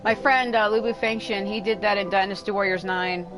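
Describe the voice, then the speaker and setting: A woman's voice: medium pitch, fairly even intonation, brisk, rough recording. Woman livestreamer with music playing behind. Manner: blunt, frequent swearing; rambling, complaining. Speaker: livestreamer playing a video game. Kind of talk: casual gameplay commentary.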